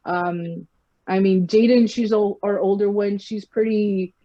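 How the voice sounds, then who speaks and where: A woman's voice: mid-range voice, steady tone, unhurried, no echo. A woman with a South Texas accent, speaking frankly as a guest in a casual podcast conversation. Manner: frank, conversational, many fillers. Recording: slightly muffled lo-fi sound.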